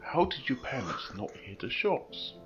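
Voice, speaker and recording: A man's voice: mid-range voice, very animated, measured pace, pitched above the performer's own voice. A man voicing a troubled, grave stallion detective with a subdued, uneasy manner in a fan-made audio drama. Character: troubled male pony detective, grave and weary. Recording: dull lo-fi, music behind.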